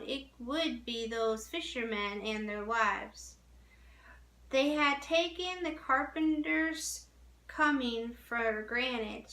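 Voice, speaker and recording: A woman reading a story aloud as narration, deliberately, with small stumbles over the text, in a roomy, echoing recording. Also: on the high side, bright, forceful tone.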